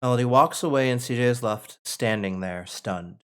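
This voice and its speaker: A man's voice: mid-range voice, normal speed, a bit bright, clean tone. A man narrating stage directions as part of a script table read.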